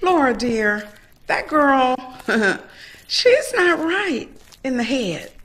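Peacemaking, practical aunt, female actor, audio drama; slightly high, expressive pitch. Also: very slow, heavily acted, low-quality recording. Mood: placating. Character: practical, placating aunt on a family outing.

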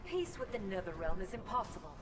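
A woman's voice: mid-range voice, very animated, a little fast, distant mic, over loud music, close to an everyday voice. A woman playing a resolute, principled ruler in a video game, formal and firm.

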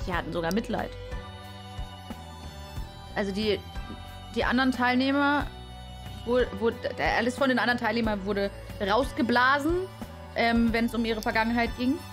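A young adult woman streaming a let's play, translating the dialogue aloud in a halting, self-correcting way with casual asides. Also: a little high. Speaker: live streamer reading a game aloud. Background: game music.

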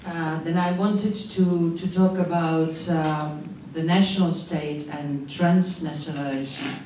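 Middle-aged Czech woman, non-native English, halting panel talk, heard from afar in a reverberant hall. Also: deep voice, fairly even intonation, mellow, slight background noise. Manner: slow, searching for words, apologetic. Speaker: panel speaker, migration expert. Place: conference room, seated at panel table. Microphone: handheld mic. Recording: echoey room, distant sound.

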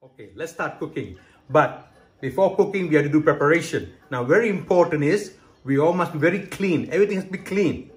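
A man's voice: a little high, lively intonation, a little fast. A middle-aged man from Hong Kong hosting a home cooking demonstration in his kitchen, explaining each step and checking in with "okay" and "right". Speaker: cooking show host. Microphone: camera close by, handheld.

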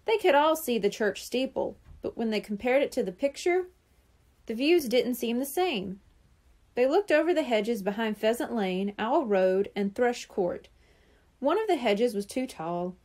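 A woman reading a children's storybook aloud in an expressive, animated way, acting out the dialogue. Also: a little high, measured pace. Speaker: audiobook reader.

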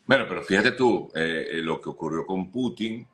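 Low-voiced man hosting an interview. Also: animated, moderate pace, a bit bright. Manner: brief agreeing interjections. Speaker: interview host. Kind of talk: conversational interview. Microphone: video call webcam.

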